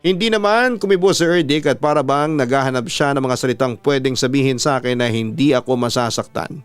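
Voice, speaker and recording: A man's voice: mid-range voice, a little fast, clean tone, soft background music. A man, a radio announcer, narrating a scripted dramatic story in the first person.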